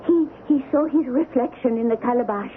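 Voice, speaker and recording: A woman acting a cunning island woman in a 1970s radio drama, with deliberate, theatrical, clipped phrasing. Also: a little high, expressive, close to an everyday voice. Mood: agitated. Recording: muffled, noisy 1970s AM radio broadcast.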